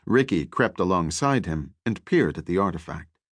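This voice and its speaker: A man narrating adventure fiction as an audiobook, with a measured, deliberate and dramatic delivery. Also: low voice, animated, no room sound.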